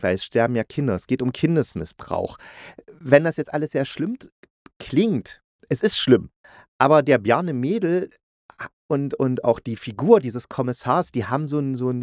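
A man with a mid-pitched voice hosts a review podcast. He talks in a casual, conversational way, using colloquial phrasing and stating his opinions plainly. The recording is dry, with little room sound. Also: a little mellow, no echo. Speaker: podcast host reviewing audio dramas.

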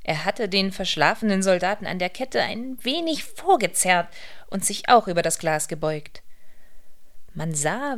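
A woman reading scripted literary narration as the narrator of a German radio play. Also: mid-range voice.

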